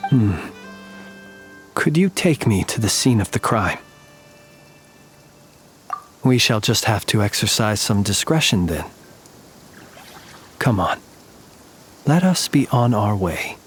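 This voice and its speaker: An actor playing a composed, courteous, mysterious military man in a video game dub, speaking in a measured, formal and eloquent way. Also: fairly low pitch, big pitch swings, soft background music. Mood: composed, cryptic.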